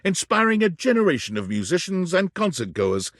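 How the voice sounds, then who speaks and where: Husky, theatrical game narrator. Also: male voice, high-pitched, big pitch swings, a little fast, no room sound, clean studio sound. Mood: storytelling, measured. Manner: erudite, theatrical, florid, precisely worded. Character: erudite, theatrical male narrator of a whimsical game. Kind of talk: scripted dramatic narration.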